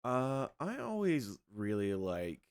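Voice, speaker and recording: A young man streaming a game and chatting loosely with his viewers, his voice a little scratchy from yelling the night before. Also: medium pitch, takes it slowly, clear, resonant tone. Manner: relaxed, rambling, talks to chat. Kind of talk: casual livestream chat.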